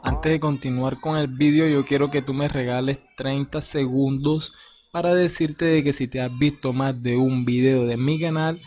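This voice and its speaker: A man's voice: medium pitch, fairly even intonation, normal speed, soft background music. Chatty man walking through a screen tutorial. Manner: informal, addresses viewer directly with slang. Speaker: crypto game tutorial host. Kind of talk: screen-recorded tutorial narration. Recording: dull lo-fi voice with faint hiss.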